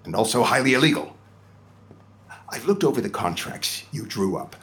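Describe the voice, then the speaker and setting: An actor playing a suave, indignant senior talent agent with a theatrical, scolding, emphatic manner. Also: male voice, lowish voice, very animated, moderate pace. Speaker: actor in a scripted audio comedy drama.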